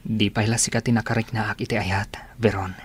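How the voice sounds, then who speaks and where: A man's voice: on the low side, little pitch movement, normal speed, slightly rough. An Ilocano Filipino man playing a lovelorn, good-natured young village suitor in a radio drama.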